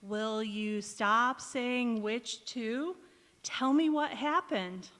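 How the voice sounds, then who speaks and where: A folksy, bossy best pal from a vintage radio sitcom acted by a woman, with a high voice. She coaxes and prods theatrically, and her words ring through a big hall. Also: very slow, a bit bright. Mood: prodding. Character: brisk, supportive best-friend housewife, wry and folksy. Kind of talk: golden-age radio sitcom acting on stage. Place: large indoor hall, live stage performance. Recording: echoey hall, community theatre stage.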